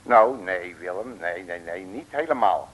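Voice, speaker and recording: An actor playing a cocky, boastful detective who talks in brash, bossy slang, in the style of a 1950s radio comedy serial. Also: male voice, sits low, expressive, a little slow, fairly bright tone, full character voice. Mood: cocky, familiar. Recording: dull old radio recording.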